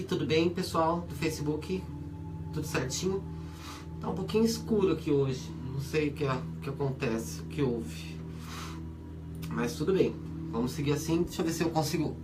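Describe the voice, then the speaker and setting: A hoarse man with a Brazilian Portuguese accent and a stuffy nose from a cold, hosting a spiritual advice show in a chatty, earnest way with many fillers, with music behind him. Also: on the high side. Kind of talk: casual spoken talk to viewers and listeners. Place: home studio or room for a web radio and video show.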